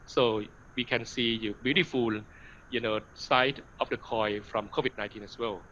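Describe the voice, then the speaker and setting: Man, bright tone, online lecture, halting delivery with fillers, faint background hiss. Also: medium pitch, steady tone, low-quality recording. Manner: hesitant, many fillers, slides in Thai.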